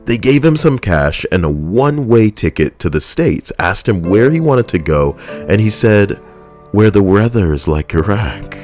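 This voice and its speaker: Deep-voiced man telling a blunt story. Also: big pitch swings, moderate pace, darkish tone, faint music behind. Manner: blunt, profane, conversational. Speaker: veteran storyteller. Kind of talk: personal storytelling.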